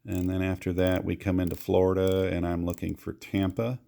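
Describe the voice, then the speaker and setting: American guy doing a casual radio how-to, deep voice with some gravel, explains step by step. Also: completely flat delivery, normal speed, dark tone. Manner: explanatory, repeating reminders. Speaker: amateur radio hobbyist giving a demonstration.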